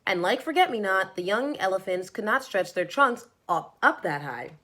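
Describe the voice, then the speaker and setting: A woman reading a children's storybook aloud as narrator, in an expressive storytelling manner. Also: medium pitch, normal speed. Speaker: storybook reader.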